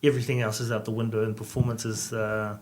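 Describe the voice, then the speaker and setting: A man, a Samoan speaker, giving calm, measured interview answers with many hesitations. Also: fairly low pitch. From New Zealand. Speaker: rugby coach, guest.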